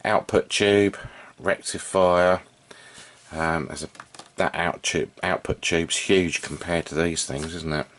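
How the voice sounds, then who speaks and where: A man giving an unscripted, informal hands-on demonstration, thinking aloud in a halting way as he works as a hobbyist repairer. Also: sits low, fairly even intonation, a bit bright. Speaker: vintage radio repair hobbyist and video presenter.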